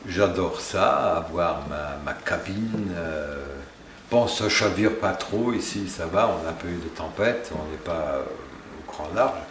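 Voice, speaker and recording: A man with a Luxembourgish accent, a native Luxembourgish speaker, introducing himself as a writer in residence in a measured, reflective way. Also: deep voice, fairly bright tone. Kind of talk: casual self-introduction. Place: on a river barge. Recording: echoey room with faint ambient noise.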